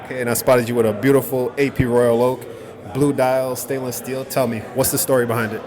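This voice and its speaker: A man's voice: medium pitch, level tone, fairly quick, crowd noise. A man hosting a vlog at an event, upbeat and speaking straight to his viewers while interviewing guests. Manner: upbeat, addresses viewers directly, friendly interviewer. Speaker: watch vlogger, host. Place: busy indoor event. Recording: music playing behind.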